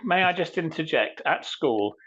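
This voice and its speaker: A man's voice: on the high side, animated, normal speed, a bit bright, lo-fi sound. A man co-hosting a podcast, breaking in with casual, jokey banter. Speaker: podcast co-host.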